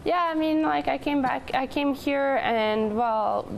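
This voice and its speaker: A woman's voice: high-pitched, a little slow, creaky, slight background noise, low-quality recording. A woman in her twenties from Montreal, chatting casually as a studio interview guest on a lapel microphone. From Montreal, Canada. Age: 20s. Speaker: interview guest, newspaper editor-in-chief. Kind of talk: casual chat.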